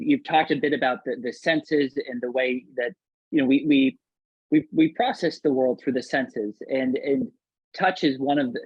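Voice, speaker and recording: A man's voice: mid-range voice, slightly rough. A man moderating an academic panel, speaking in a hesitant way and often repeating words as he works through a thought. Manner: halting, hesitant, repeats words mid-sentence. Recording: muffled, roomy, lo-fi sound.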